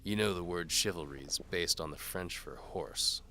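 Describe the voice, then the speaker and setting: A man playing a wry, cynical, down-on-his-luck knight for hire in an audio drama, hard-boiled and deadpan. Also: low voice, a little slow, a little hiss or hum. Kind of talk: audio drama acting, noir detective.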